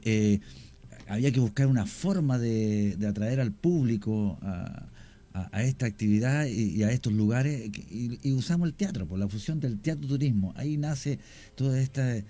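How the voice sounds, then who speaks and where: A man, a radio guest explaining his cemetery work at length, often pausing with fillers. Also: mid-range voice, mellow. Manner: explanatory, hesitant with many fillers. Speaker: guest, cemetery tour creator. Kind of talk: radio interview.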